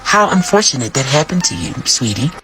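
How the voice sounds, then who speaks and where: A woman voicing an eerie impostor posing as a sweet mother, slow and coaxing. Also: low voice, very animated, pushed and bright, faint music behind, voice pushed down, exaggerated voice. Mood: sugary.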